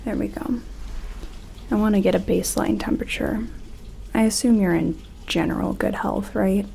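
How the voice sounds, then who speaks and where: A woman playing a gentle, respectful sci-fi colony medic in a soft-spoken, hesitant ASMR roleplay, close to the microphone. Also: mid-range voice, normal speed, creaky, slight background noise. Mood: gentle, focused. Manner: hushed, hesitant, reassuring, informal.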